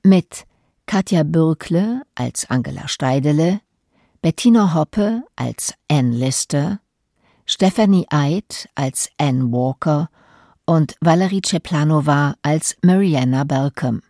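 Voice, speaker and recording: A woman's voice: deep voice, expressive, takes it slowly, mellow, no echo, studio quality. A woman reading a scripted credits announcement as a radio announcer.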